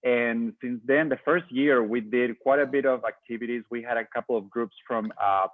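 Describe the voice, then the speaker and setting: A man's voice: medium pitch, normal speed, no echo. A man from Peru, chair of a sister city committee, introducing himself on a video call with frequent hesitations. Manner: hesitant, many fillers. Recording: tinny phone-like dry audio.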